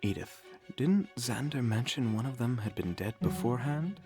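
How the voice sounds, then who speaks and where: A man's voice: medium pitch, animated, moderate pace, darkish tone, close to an everyday voice. A man playing a smug, sardonic, theatrical mage in a fantasy audio drama. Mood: thoughtful. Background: faint music.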